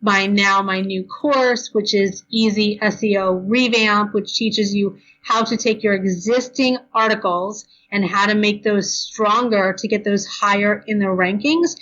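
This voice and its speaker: A woman guest on an interview, talking casually and quickly with lots of fillers, tired and a bit hoarse. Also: medium pitch, fairly even intonation, fairly bright tone, muffled recording. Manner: chatty, fast, lots of ums and you knows. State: tired, losing her voice. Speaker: blogger guest. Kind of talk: podcast interview, casual advice.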